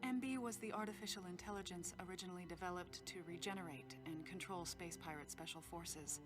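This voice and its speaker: A woman playing a composed female scientist who heads a facility, speaking in a measured, explanatory way in a game cutscene, with loud music behind. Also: lowish voice, close to an everyday voice. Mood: explaining. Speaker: facility head character. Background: loud game score.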